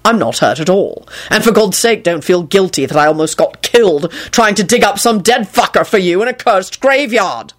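A woman's voice: medium pitch, lively intonation, moderate pace, hardly any pauses, natural voice. A woman voicing an irritable, sarcastic, foul-mouthed man in a story reading, indignant and sardonic. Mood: offended, dry.

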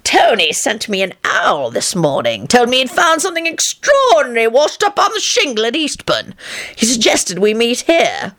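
Woman doing a wild old grandpa, squealing high, all over the place, storybook. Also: wildly swooping pitch, normal speed, fairly bright tone. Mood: smug. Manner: shrieking, whooping, gleeful. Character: manic, boisterous old grandfather on a potion.

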